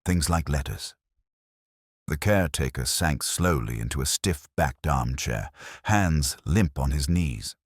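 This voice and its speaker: A man reading scripted detective-story narration in a husky voice. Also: very deep voice, lively intonation, measured pace, no echo, studio quality.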